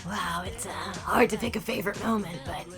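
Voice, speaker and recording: Shameless teen twin played by a woman, cheeky deadpan anime parody delivery, background music clearly present. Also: mid-range voice, brisk, voice pushed down, close to an everyday voice. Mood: sly. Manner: matter-of-fact, cheeky. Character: mischievous, shameless teenage twin schoolboy. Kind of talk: fan parody dub of anime.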